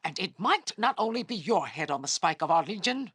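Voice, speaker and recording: A haughty female commander of a monster army in a fantasy game. She is husky and very dynamic in pitch, issuing threats with open contempt in a dry, close recording. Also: very animated, brisk, a bit bright, dry recording, exaggerated voice. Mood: angry, commanding. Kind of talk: scripted fantasy video game acting.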